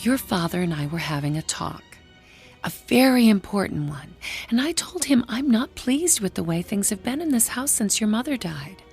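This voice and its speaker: An actress playing an overbearing, disapproving aunt with an insistent, haughty manner in a radio drama. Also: female voice, mid-range voice, expressive, normal speed, gravelly, darkish tone. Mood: cloying, persuasive. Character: overbearing, disapproving aunt fussing over bereaved children. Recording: studio radio play with music underneath.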